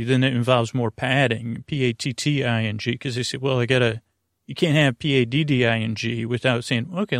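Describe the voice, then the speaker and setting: A man hosting a sleep podcast in a meandering, halting bedtime monologue, full of restarts and whimsical, punning tangents, with a gravelly voice. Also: medium pitch, no room sound, studio quality. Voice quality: creaky, gravelly. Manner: whimsical, punning, silly asides.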